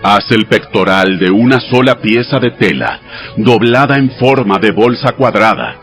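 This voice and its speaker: Low-voiced solemn God, music behind. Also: male voice, a bit bright. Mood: solemn, commanding. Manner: measured, solemn, instructive. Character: God, solemn male deity giving sacred commands. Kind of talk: dramatized audiobook Bible reading. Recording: studio voice over background music.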